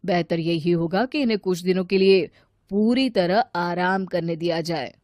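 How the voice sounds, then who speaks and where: Formal, calm doctor read by a woman. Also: fairly low pitch, normal speed, dry recording, studio quality, close to an everyday voice. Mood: calm advising. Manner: formal, advising. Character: calm, formal male hospital doctor advising a family. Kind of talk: moral story dialogue.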